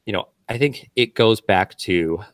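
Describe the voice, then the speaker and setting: Low-voiced man, measured and hedging. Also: no echo. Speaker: healthcare guest. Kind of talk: panel podcast discussion.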